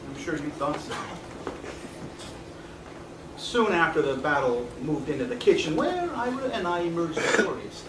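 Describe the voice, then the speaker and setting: A man playing a weary, nostalgic man in his fifties, speaking deliberately and reflectively in a theatrical stage performance. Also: fairly high pitch, big pitch swings. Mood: exasperated. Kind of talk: theatrical stage monologue and dialogue. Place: small indoor theatre stage. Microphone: far camera. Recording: echoey theatre stage, distant noisy camera mic.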